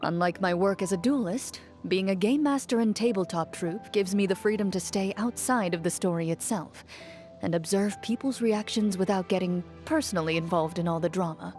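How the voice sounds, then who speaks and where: A woman's voice: medium pitch, a little mellow, close to an everyday voice. Woman acting a composed, stern female duelist, music behind. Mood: stern, resolute. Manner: measured, formal, dryly understated. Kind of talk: video game character voice lines.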